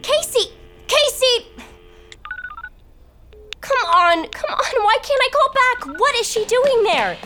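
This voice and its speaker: A woman's voice: very high-pitched, very animated, takes it slowly, pauses often, heavy background noise, exaggerated voice. A woman playing a bossy, melodramatic schoolgirl with a demanding, haughty manner in an audio drama. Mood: indignant, frantic.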